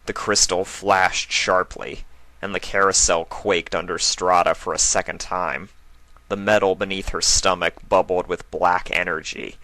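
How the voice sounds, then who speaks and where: A man's voice: deep voice, little pitch movement, a little slow, bright, forceful tone, no echo, low-quality recording. A man narrating a scripted story in a dramatic reading style. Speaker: narrator, fan fiction reader.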